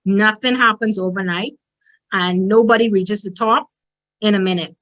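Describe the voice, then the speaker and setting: A woman's voice: medium pitch, fairly even intonation, normal speed, fairly bright tone, muffled recording. Bahamian woman conference speaker, direct and emphatic, conversational motivational talk, mid-range voice. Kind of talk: motivational presentation.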